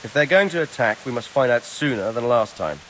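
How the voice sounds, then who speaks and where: A man acting as a commanding older lord in a video game drama. His medium-pitched voice rises and falls expressively, formal and cautious, with a fatherly authority. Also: lively intonation, a little fast, fairly bright tone, slight background noise, lightly acted. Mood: commanding, urging.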